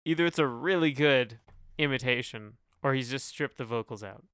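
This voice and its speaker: A man with a mid-pitched, clean and resonant voice co-hosts a podcast. He talks casually about music, recorded dry with no room sound. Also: moderate pace, fairly bright tone, clear, resonant tone, no echo. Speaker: podcast co-host.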